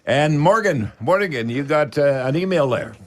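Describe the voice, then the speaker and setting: An older man with a Canadian accent hosting a casual radio talk show in a folksy, halting way. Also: fairly high pitch, animated.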